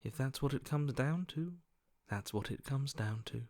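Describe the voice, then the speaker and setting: A cold, detached male voice from a video game , played by a man, sitting at a medium pitch. He is blunt and dismissive, sounding bored by everything. The sound is very dry, with no room on it. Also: expressive, moderate pace, a little mellow, no echo, lightly acted. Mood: coolly pragmatic.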